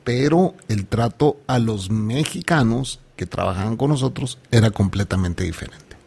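A man's voice: fairly low pitch, normal speed, gravelly, a little mellow, slightly rough. A middle-aged Guatemalan man co-hosting a podcast, chatting casually, swearing freely and dropping English words. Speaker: podcast co-host. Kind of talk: casual chat.

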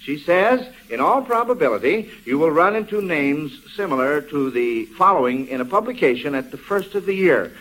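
A man's voice: fairly high pitch, animated, normal speed, few pauses, a bit bright, poor audio. An American man hosting a 1940s radio quiz in a folksy, chatty, encouraging manner, on a vintage broadcast recording. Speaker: quiz show host.

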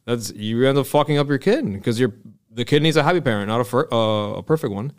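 A man's voice: mid-range voice, expressive, fast talker, clear, resonant tone. A man in his early thirties with an American accent, chatting casually and candidly as a podcast host, swearing freely. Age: early 30s. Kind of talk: casual conversational chat.

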